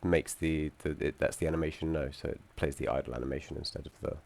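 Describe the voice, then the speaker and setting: Croaky young man walking through code. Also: a bass voice, fairly even intonation, fast talker, a little mellow. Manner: hesitant, many ums and restarts, dry asides. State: croaky from feeling unwell. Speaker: student presenting his game project. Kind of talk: screen-recorded code walkthrough.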